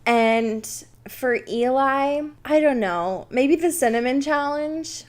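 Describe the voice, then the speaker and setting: A woman's voice: high voice, slow. A young woman co-hosting a podcast, chatting casually and comedically in an irreverent, slangy, sweary way. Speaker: podcast co-host.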